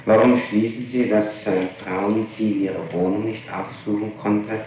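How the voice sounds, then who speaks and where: A man's voice: on the low side, steady tone, normal speed, darkish tone. A man putting formal, probing questions as an interrogator in a recorded interrogation. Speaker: police interrogator. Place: echoey interrogation room. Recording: very noisy, echoey archival tape.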